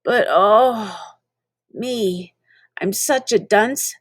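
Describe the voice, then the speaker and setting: A woman's voice: mid-range voice, drawn-out words, breathy edge, no echo, clean studio sound. A woman reading children's poetry aloud in a playful, theatrical manner, drawing out words for emphasis. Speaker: reader of children's poems.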